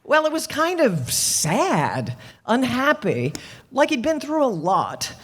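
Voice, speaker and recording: A woman's voice: fairly high pitch, wildly swooping pitch, slow pace, husky, heavily acted. A woman playing a chatty, motherly older landlady who is hesitant and easily flustered, in an old-time radio play. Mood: uncertain, thoughtful. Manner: hesitant, fussy, exclaiming.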